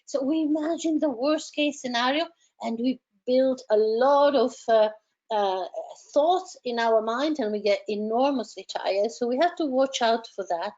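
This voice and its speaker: Halting woman coach, slightly high voice. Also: darkish tone, lo-fi sound. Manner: hesitant, many repeated words and fillers. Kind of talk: advisory talk on coping with stress.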